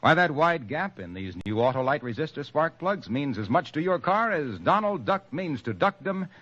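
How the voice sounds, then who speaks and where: An exuberant pitchman rolls out flowery, emphatic sales patter. His voice sits a bit high, in 1940s radio commercial style on a dull, muffled recording. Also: sing-song, moderate pace, fairly bright tone. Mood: exuberant, boastful. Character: exuberant, fast-patter spark plug pitchman. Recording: muffled old radio broadcast.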